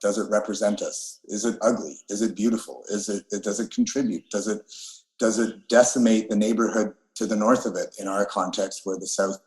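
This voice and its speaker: A man, an architect and co-founder of a design firm, answering interview questions in an earnest, deliberate way. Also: on the low side, fairly even intonation, darkish tone. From Canada. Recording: roomy echo.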